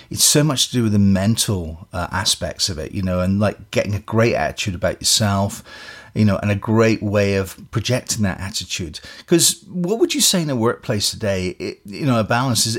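A man's voice: fairly low pitch, slightly rough. A man hosting an interview, enthusiastic and effusive with praise, often saying "you know". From immigrant to Canada. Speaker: interview host.

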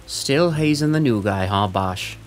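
A man's voice: lowish voice, animated, measured pace. A man playing a brusque, wisecracking veteran police sergeant in a noir audio drama, slangy and no-nonsense. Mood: wry, friendly.

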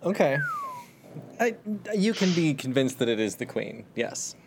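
A man running a tabletop game as game master, halting and searching for words. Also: medium pitch, sing-song, creaky, background noise.

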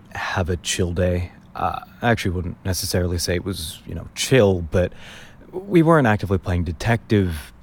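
A man's voice: lowish voice, darkish tone. A man playing a wry, grumbling young man in naturalistic audio drama, conversational and dryly sarcastic.

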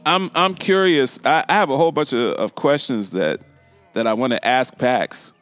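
A man's voice: medium pitch, normal speed, fairly bright tone, faint music behind. A man hosting a casual talk radio show, often repeating and restarting phrases for emphasis.

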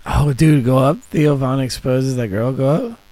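A man's voice: mid-range voice, steady tone, normal speed, mellow, no room sound. A man co-hosting a casual podcast, reacting bluntly in slang. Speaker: podcast co-host.

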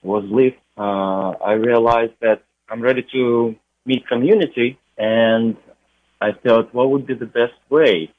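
A young man with a Ukrainian accent, talking about his music in a relaxed radio interview, pausing often with "uh". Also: mid-range voice, no echo. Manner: unhurried, with frequent uh pauses. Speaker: DJ and radio guest. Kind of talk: interview conversation. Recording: tinny phone-like line.

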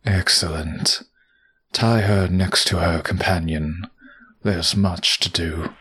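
Guy doing a creepy calm cult professor for audio drama, deep and really raspy, commanding. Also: slow pace, heavily acted. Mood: calm menace. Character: calm, sinister male professor, cult leader.

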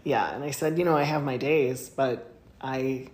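Woman co-host, low-pitched, telling stories casually with bursts of laughter, recorded in an echoey room. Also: normal speed. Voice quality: laughs often while talking. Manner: animated retelling, voice jumps high when excited. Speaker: podcast co-host. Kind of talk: casual storytelling chat. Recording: echoey room with faint noise.

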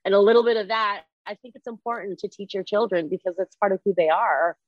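A woman's voice: medium pitch, quick pace, no echo, dull audio. A young woman from Canada whose first language is Mandarin, chatting as an interview guest with many fillers and restarts. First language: Mandarin Chinese. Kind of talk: casual interview conversation. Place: Airbnb room.

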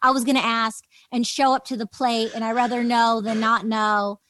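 A woman's voice: on the high side, flat delivery, normal speed, fairly bright tone, a little hiss or hum. A woman interviewing in a casual, reflective podcast style, effusively affirming and reflecting back what she hears.

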